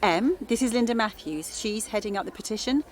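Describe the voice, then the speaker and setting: An actress playing an earnest schoolteacher who addresses a town meeting with firm, impassioned conviction. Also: female voice, medium pitch, expressive, brisk, far from the mic, a faint crowd, slight background noise, natural voice. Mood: determined. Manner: firm, impassioned public speaking. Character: earnest woman teacher rallying a town meeting. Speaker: schoolteacher leading a town campaign. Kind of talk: audio drama.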